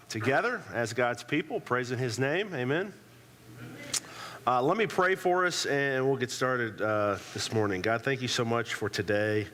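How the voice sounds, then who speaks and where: A man's voice: mid-range voice, a bit bright, long reverb tail, a little hiss or hum. A pastor with a cold and a congested voice, talking casually to his church with many "uh"s and restarts, praying and telling a family story. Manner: conversational, frequent "uh" fillers and restarts. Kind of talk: sermon with prayer and personal story. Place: church sanctuary.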